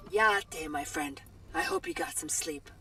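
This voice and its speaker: An actress playing a sly, warm woman arms trader in a video game, with playful, theatrical phrasing. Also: very high-pitched, wildly swooping pitch, unhurried, vocal fry, exaggerated voice. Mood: upbeat, salesy. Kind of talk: video game cutscene acting.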